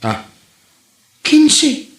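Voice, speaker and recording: A man's voice: extremely slow, fairly bright tone. A man playing a hapless, complaining tricycle driver in a comedy drama, stammering and exclaiming in exasperation. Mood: exasperated complaining. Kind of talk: comedy radio drama acting. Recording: roomy, echoey sound.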